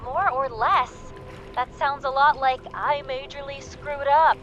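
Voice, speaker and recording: Sassy loyal game sidekick gal, high voice, casual, bit muffled w music. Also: female voice, takes it slowly. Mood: teasing, exasperated. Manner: informal, sassy, expressive. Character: feisty, loyal, teasing female comrade of the hero. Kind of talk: casual video game companion dialogue.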